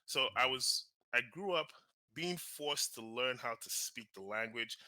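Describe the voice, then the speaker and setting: A man from Nigeria, a guest on an interview show, telling his life story in a lively, casual way with many fillers and restarts. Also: medium pitch, measured pace, dry recording. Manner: animated, frequent fillers and restarts. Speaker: guest, real estate investor and author.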